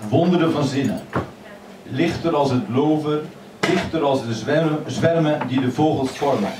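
A man's voice: fairly high pitch, recorded from a distance, background noise. Man reciting poems in an echoey café. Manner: measured, expressive recitation. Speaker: poet reading his poems. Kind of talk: poetry reading. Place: crowded old café, indoors. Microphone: stand mic, filmed from across the room. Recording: echoey café filmed from afar, loud chatter.

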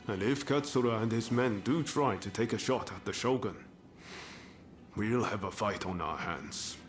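A man's voice: lowish voice, moderate pace, lightly acted. Man voicing an earnest young samurai, music behind. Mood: thoughtful. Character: earnest young samurai swordsman, idealistic and thoughtful. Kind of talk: video game cutscene voice acting.

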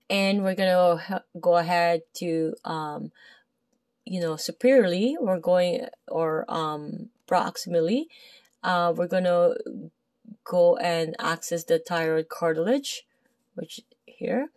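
A woman's voice: mid-range voice, a little mellow, no room sound. A woman with a Filipino accent walking through an anatomy textbook page in a casual study lesson, hesitant, with many fillers and self-corrections. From Philippines. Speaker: massage therapy tutor. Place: indoors at a desk. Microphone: phone camera overhead, speaker off screen.

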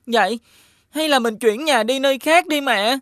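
A woman voicing a polite, sorrowful young village boy in an animated folktale, speaking with hesitant deference. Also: high-pitched, normal speed, no room sound, clean studio sound, lightly acted. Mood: troubled.

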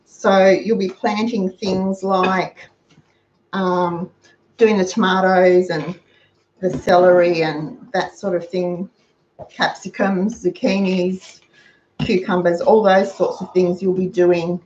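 A woman's voice: fairly low pitch, level tone, slow, darkish tone, slight background noise. An older woman with an Australian accent, chatting through a cooking demonstration in her home kitchen and sharing practical money-saving tips. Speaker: host of a frugal cooking channel. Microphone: fixed camera across the counter. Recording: roomy, dull lo-fi sound.

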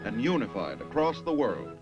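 An American man reading formal, emphatic scripted narration in the manner of a 1950s military documentary, with measured phrasing. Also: mid-range voice, huge pitch swings. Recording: dull vintage film soundtrack with music.

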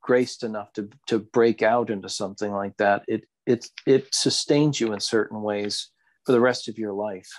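Lower-pitched man in reflective conversation. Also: steady tone, normal speed. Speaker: podcast co-host. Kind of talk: reflective casual discussion of spirituality.

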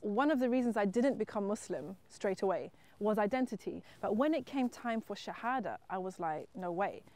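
Casting: middle-aged woman from Zimbabwe, mid-pitched, earnest and reflective, interview monologue. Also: expressive, moderate pace, few pauses, a little mellow. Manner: earnest, reflective, emphatic at times. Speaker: writer and entrepreneur. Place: wheat field outdoors.

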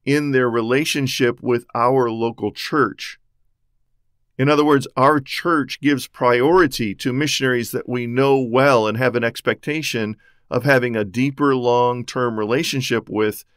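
A man's voice: medium pitch, no room sound. A man with a mid-range voice reads a teaching script, walking methodically through one point after another. He is recorded close on a dry mic with no room sound. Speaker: podcast host on church missions.

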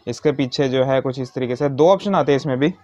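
A man's voice: medium pitch, fast talker, a little mellow. A man giving an informal hands-on tutorial step by step, mixing in English words. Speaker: motovlogger giving a how-to demonstration. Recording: dull, muffled lo-fi sound.

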